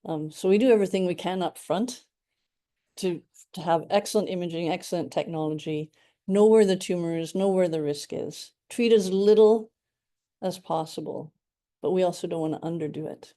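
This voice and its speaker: A woman's voice: on the low side, normal speed, warm tone. A middle-aged woman from Canada with a Canadian accent, a radiation oncologist giving an earnest, enthusiastic talk to a support group, with frequent ums.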